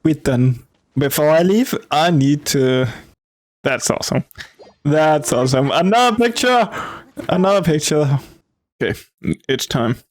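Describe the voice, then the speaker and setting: A man's voice: on the high side, expressive, measured pace, pauses often. A man giving casual, enthusiastic commentary over gameplay, often repeating short phrases. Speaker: gaming video creator. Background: game music behind the voice.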